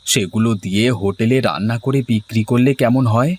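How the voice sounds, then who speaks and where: A man's voice: medium pitch, fairly quick, darkish tone, slightly rough, lightly acted. A man voicing a mild, hardworking village father and farmer in a moral-story cartoon. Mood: thoughtful. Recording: music playing under the voice.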